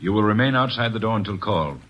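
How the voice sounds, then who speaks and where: A man playing a pompous, smooth club owner on old radio. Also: fairly low pitch, animated, fast, a little hiss or hum, close to an everyday voice. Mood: poised. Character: smooth, formal middle-aged club owner. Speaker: card game host. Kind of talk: 1950s radio drama acting. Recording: dull lo-fi old radio recording.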